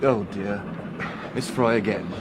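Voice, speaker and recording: An actor playing an urbane, patronising professor, courteous and formal, in a British radio drama. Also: male voice, lowish voice, a little slow, background noise, natural voice. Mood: genial, weary.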